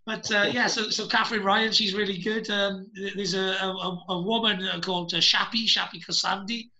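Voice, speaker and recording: Welsh male host, high and very bright voice, rambling with many ums, video call. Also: steady tone, fairly quick, poor audio. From Wales. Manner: rambling, hesitant, many fillers and restarts. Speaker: podcast host. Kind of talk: casual video-call chat.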